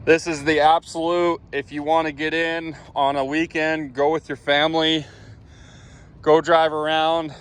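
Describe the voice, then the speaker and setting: A man giving a casual walkaround sales pitch as a truck seller, plain-spoken and in short clipped phrases. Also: flat delivery, unhurried, fairly bright tone, smooth. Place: outdoors around a truck and inside it while driving.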